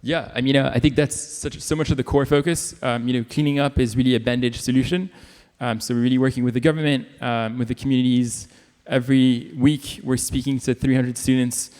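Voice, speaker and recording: A man's voice: medium pitch, fairly even intonation, brisk, a little mellow, clean tone. Earnest man from Indonesia on a panel. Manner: earnest, filler-heavy. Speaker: panelist, nonprofit founder. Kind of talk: panel talk. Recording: long hall reverb.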